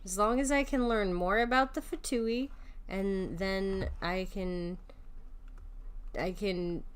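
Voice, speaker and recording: A woman's voice: mid-range voice, steady tone, slow pace, clean tone. Young woman streamer, slangy gameplay commentary. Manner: slangy, teasing, reacting aloud. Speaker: vtuber streamer playing a game. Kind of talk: casual, joking gameplay commentary.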